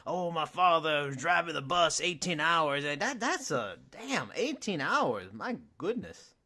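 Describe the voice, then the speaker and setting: A man hosting a casual sports podcast, cheerful and joking between topics. His voice sits a little high and moves around a lot as he talks. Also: sing-song, a little slow, bright, forceful tone. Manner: upbeat, jokey, laughs often. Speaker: podcast host.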